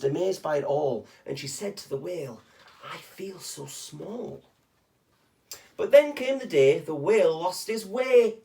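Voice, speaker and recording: Male storybook reader, fairly high voice, very lively intonation, chatty with the kids. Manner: animated, with short chatty asides to young listeners. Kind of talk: expressive read-aloud of a children's rhyming picture book.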